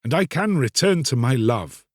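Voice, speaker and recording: A man's voice: medium pitch, animated, moderate pace, no echo, clean studio sound, natural voice. A man playing an earnest, troubled young fisherman. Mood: earnest longing. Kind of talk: dramatised storybook reading.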